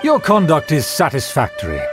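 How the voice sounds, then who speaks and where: Pompous, theatrical young-sounding aristocrat. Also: male voice, fairly high pitch, huge pitch swings, normal speed. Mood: haughty, approving. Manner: haughty, formal, flowery phrasing. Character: pompous, self-important male aristocrat of a fallen clan. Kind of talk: animated video game character acting. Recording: clean voice over game music. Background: game background music.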